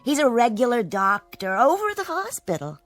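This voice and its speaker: Coaxing, expressive showgirl friend. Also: fairly high pitch, moderate pace, soft background music. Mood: insistent. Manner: coaxing, familiar. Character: solicitous, coaxing female dancer friend. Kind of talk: radio drama acting.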